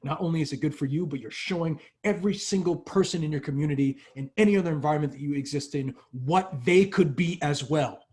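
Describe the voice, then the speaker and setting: A man's voice: on the high side, quick pace, few pauses, darkish tone. A man speaking earnestly in a casual motivational talk, with frequent fillers and restarts. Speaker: workshop speaker.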